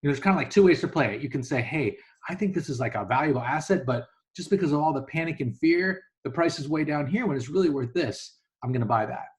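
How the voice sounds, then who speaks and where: A man's voice: a little high, animated, fast, few pauses. A man speaking as an investor guest in an interview, explaining things casually and acting out hypothetical thoughts as examples. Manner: conversational, illustrates points with hypothetical quoted thoughts.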